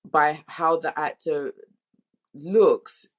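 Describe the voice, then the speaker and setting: A woman speaking as a director in a casual interview, chatty and often interrupting herself. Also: sits low. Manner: chatty, halting, self-interrupting. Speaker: director, panel guest.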